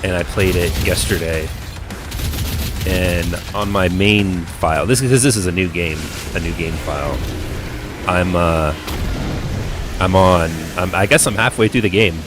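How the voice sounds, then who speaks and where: A middle-aged man, hoarse and croaky after being sick, rambling through laid-back live gameplay commentary. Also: low-pitched, unhurried, long pauses. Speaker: game streamer. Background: game music.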